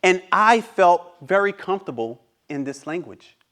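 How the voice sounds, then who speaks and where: A man from Haiti who grew up speaking Haitian Creole delivers a motivational club speech. His voice sits high and leaps around in pitch, jumping from near shouts to soft, hushed lines. He asks questions to the room and then answers them himself. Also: expressive, moderate pace. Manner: dramatic, swings between loud and hushed, rhetorical questions. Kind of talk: prepared inspirational speech.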